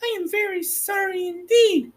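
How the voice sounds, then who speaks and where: Man doing a very high-pitched plucky little girl. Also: normal speed, a bit bright. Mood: frightened, apologetic. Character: innocent young girl, plucky and indignant.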